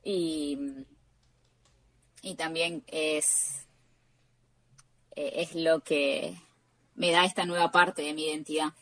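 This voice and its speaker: A woman with an Argentine accent talking casually and reflectively as an interview guest, often halting and repeating words. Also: low-pitched, flat delivery, fairly bright tone, no room sound, rough recording.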